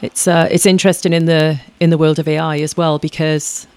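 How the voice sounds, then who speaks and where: A woman's voice: low-pitched, level tone, fairly quick, darkish tone, smooth, no room sound. A woman performer being interviewed, talking in a chatty, rambling way, often adding tag questions and restarting her sentences. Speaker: guest performer. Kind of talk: conversational interview.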